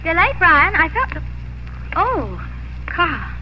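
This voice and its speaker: An actress playing a spirited, proud young planter's daughter in 1950s radio drama. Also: female voice, very high voice, very animated, takes it slowly, background noise, full character voice. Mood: surprised, coy. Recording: muffled old radio broadcast, music.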